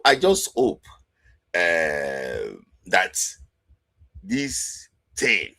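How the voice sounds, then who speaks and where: A man hosting a football talk show, commenting casually in an animated, exasperated way with laughs between points. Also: medium pitch, husky.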